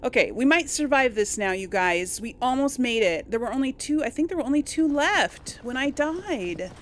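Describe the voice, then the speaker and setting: A woman giving casual, expressive gameplay commentary, reacting aloud and talking to the game's characters. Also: high-pitched, normal speed, slight background noise. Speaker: gamer narrating a role-play let's play. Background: game music behind the voice.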